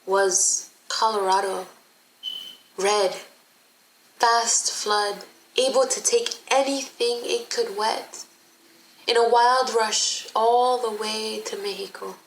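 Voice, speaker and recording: A woman giving a dramatic poetry reading in deliberate, measured phrases with pauses. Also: medium pitch, fairly even intonation, poor audio. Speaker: performer reading a poem. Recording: faint background noise.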